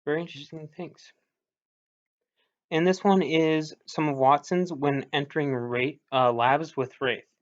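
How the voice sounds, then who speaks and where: A man's voice: on the high side, steady tone, unhurried. A man giving informal, slightly hesitant explanatory commentary on video game voice lines, thinking aloud as he goes. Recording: dull lo-fi recording.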